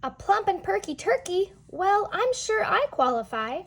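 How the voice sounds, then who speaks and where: A woman's voice: extremely high pitch, big pitch swings, unhurried, fairly bright tone, pitched above the performer's own voice, exaggerated voice. A woman voicing a cocky, proud male turkey in a storybook, with a boastful, jaunty delivery.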